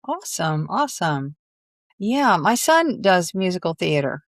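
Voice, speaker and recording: A woman's voice: lowish voice, very animated, measured pace, dry recording, clean studio sound. A mature woman hosting a conversational interview, reacting with open interest and encouragement. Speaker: interview host.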